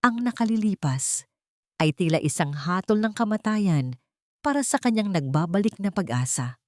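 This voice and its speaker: A woman's voice: medium pitch, animated, normal speed, a little mellow, dry recording, clean studio sound. A woman narrator reading a scripted dramatic story in a solemn, suspenseful manner.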